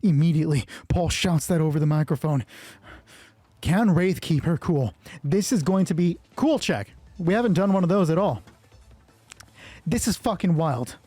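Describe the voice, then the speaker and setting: High-pitched man with a soft husky voice. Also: moderate pace, no room sound. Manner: excited, thinking aloud, swearing freely. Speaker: solo roleplay game master and player. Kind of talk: improvised tabletop game narration with dice talk.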